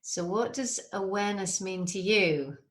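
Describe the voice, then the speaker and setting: A woman hosting an interview, asking questions in an unhurried, curious way. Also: medium pitch. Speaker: host. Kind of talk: interview conversation.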